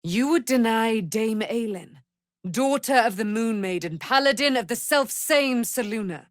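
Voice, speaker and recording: An actress playing a proud, wrathful immortal warrior paladin in a fantasy video game, declaiming in grand archaic, formal language. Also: female voice, on the high side, expressive, unhurried, no echo, studio quality. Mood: proud, commanding.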